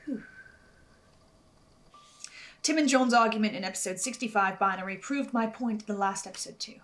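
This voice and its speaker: A woman hosting a video log, giving semi-scripted commentary in a thoughtful, slightly halting way. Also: medium pitch, a bit bright. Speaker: host of a podcast reaction video log.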